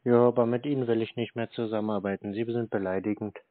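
A man giving terse, deadpan answers in an unscripted phone conversation. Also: lowish voice, fast, no echo. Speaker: scam baiter. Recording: tinny narrow phone line.

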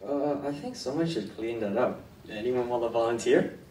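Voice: medium pitch, moderate pace, warm tone, slight background noise, lightly acted. A teenage student playing a lazy, whiny, sarcastic, snack-loving kid hiker in a school radio drama on an echoey stage. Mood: disgusted, whiny. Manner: sarcastic, grumbling. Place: indoor theatre stage. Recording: echoey stage hall, distant microphone.

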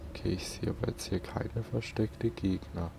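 A man's voice: deep voice, steady tone, normal speed, gravelly, noisy background. A man giving casual gameplay commentary. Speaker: let's player. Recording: game music faintly behind. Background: faint video game music.

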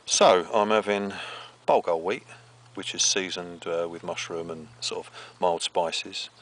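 A man's voice: low-pitched, fairly even intonation, unhurried, a bit bright. A middle-aged man chatting casually to the camera as he presents outdoor cooking. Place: outdoors on a grassy riverbank under trees. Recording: outdoor camera audio with clear background noise.

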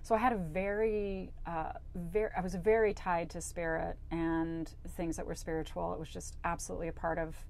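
Woman guest from hawaii, 40s, lowish voice, studio set, faint hiss. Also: moderate pace, few pauses. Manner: chatty, animated storyteller acting out quotes. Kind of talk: casual interview storytelling. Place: flower-decorated studio set.

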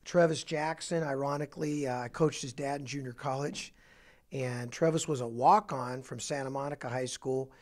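A middle-aged man, a basketball coach, talking through his roster in an office interview with a handheld mic, halting with fillers and restarts. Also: mid-range voice, fairly even intonation. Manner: halting, many fillers and restarts, upbeat emphasis on each name. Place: office, indoors.

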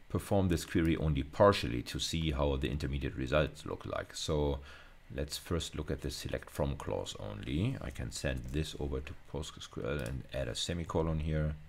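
A man's voice: a bass voice, creaky, slight background noise. A middle-aged man giving an informal lecture as a university teacher, explaining things step by step and often rephrasing as he thinks aloud.

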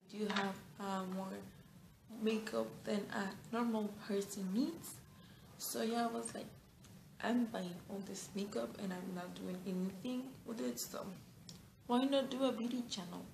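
A woman's voice: medium pitch, fairly even intonation, measured pace, creaky, roomy sound, background noise. An eighteen-year-old woman with a Mexican Spanish accent, a native Spanish speaker, chatting casually to camera with lots of fillers, restarts and giggles. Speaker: beauty vlogger. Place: small bright room indoors.

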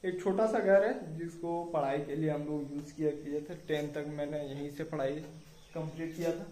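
A man's voice: fairly high pitch, steady tone, normal speed, warm tone. A young man giving a casual, chatty house tour as he walks through the rooms and talks to whoever is filming him. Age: young adult. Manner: chatty, walks while talking to a filming sister. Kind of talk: casual home tour vlog. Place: small concrete house, bare rooms and rooftop. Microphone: camera held by someone else, a few steps away. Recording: echoey bare rooms, distant camera mic.

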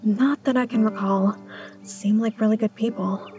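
A woman playing a reserved, uneasy small-town schoolteacher in an audio drama, speaking in a hesitant, hushed way. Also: mid-range voice, steady tone, moderate pace, close to an everyday voice. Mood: uneasy, guarded. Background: music.

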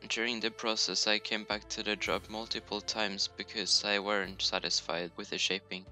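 A Norwegian man talks over his screen recording in accented English, a little low in pitch but sharply bright. He drops self-mocking jokes between explanations. His home mic is cheap, and faint music plays beneath. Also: little pitch movement, normal speed. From Norway. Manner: self-deprecating, joking asides. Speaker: YouTuber. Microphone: home computer mic, poor audio.

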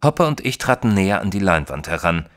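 Gentleman narrator, detective audio drama: very deep, animated, proper, faintly indignant, measured storytelling. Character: proper Victorian gentleman narrator, opinionated, easily shocked companion. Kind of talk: dramatised first-person audiobook narration in German.

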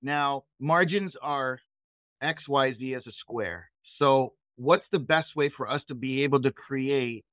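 A middle-aged man leading a live software training session in a conversational, explanatory way, often posing questions and answering them. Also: mid-range voice, no echo. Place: indoors, on a video call.

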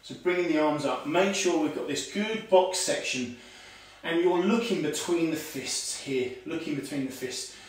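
A man's voice: on the high side, normal speed. A man in his forties teaching a karate lesson at home, explaining moves as he demonstrates them, with many "okay"s. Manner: talks while demonstrating moves, calls out commands. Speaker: karate instructor. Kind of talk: online martial arts lesson. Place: home room with tiled floor. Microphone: far camera on a stand. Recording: echoey room, voice from far off.

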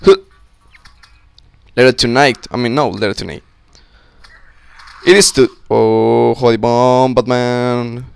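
A young man with a slightly sick voice, giving casual, excitable commentary on a co-op game with friends on a close mic. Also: mid-range voice, slow, vocal fry, pauses often, clear, resonant tone. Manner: excitable, swearing, joking with friends. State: sick, voice a little off. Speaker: gameplay commentator. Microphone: close-up desk mic.

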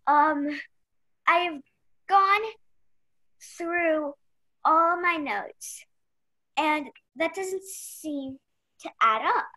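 Young woman as a high, bright, flustered rookie detective. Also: very slow, pauses often, heavily acted. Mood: hesitant. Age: young adult. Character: eager, flustered rookie detective, unsure but insistent. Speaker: actor in a youth theatre radio play. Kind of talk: radio play acting.

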